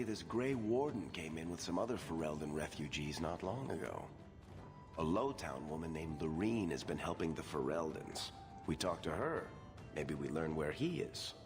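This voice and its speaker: Wry fantasy dwarf merchant, deep and animated, game cutscene with music and reverb. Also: male voice.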